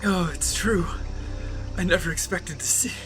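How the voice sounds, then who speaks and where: A man acting as an awestruck, giddy scientist in an audio drama, speaking in a halting, stammering way. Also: high voice, over music, natural voice. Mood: giddy, awed. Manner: halting, stammering, breaking into giddiness. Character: overjoyed, awestruck male dinosaur scientist.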